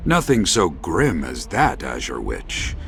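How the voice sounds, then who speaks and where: A wise, aged former hero of a fantasy game, speaks in a low voice. His phrasing is courtly, archaic and measured, with a self-deprecating streak. Music plays prominently behind him. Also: wildly swooping pitch, vocal fry, fairly bright tone, heavily acted. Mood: calm, persuasive. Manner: formal, archaic, measured diction. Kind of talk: scripted video game acting.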